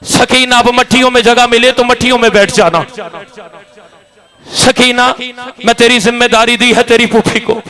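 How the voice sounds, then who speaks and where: A man's voice: extremely high pitch, little pitch movement, normal speed. A man delivering an impassioned mourning sermon, telling the story in a wailing, declamatory voice and calling out to the listeners. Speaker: zakir reciting a majlis. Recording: live gathering crowd noise. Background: mourning crowd.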